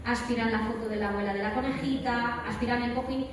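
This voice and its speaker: A woman's voice: on the high side, fairly even intonation, quick pace. A woman with an accent from Spain speaking on a live panel, emphatic and repeating words for stress as she tells anecdotes and gives advice. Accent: Spanish from Spain. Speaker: voice actress, guest speaker. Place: large echoey event hall at a convention. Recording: echoey hall, distant mic, crowd noise. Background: crowd chatter.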